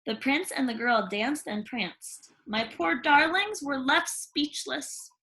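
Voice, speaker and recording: A woman reading a children's story aloud in an expressive, theatrical way with dramatic pauses. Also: high-pitched, rough recording. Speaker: storybook reader.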